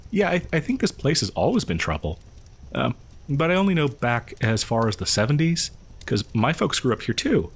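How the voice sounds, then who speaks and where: A man plays a friendly young local guy in a horror audio roleplay. He has a medium-pitched voice and talks in a hesitant, rambling, awkward way, as if passing on grim town rumors in casual chat. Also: sing-song, moderate pace, slightly rough. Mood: casual, gossipy. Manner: hesitant, rambling, nervous chuckles.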